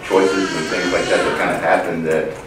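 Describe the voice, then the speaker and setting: A man with a low voice speaks up from the audience. The hall echoes heavily and he is some way from the mic. His comment is informal and conversational, circling around its point. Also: little pitch movement, normal speed. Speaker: audience member asking a question. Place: lecture hall audience, indoors. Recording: very echoey, distant, noisy room.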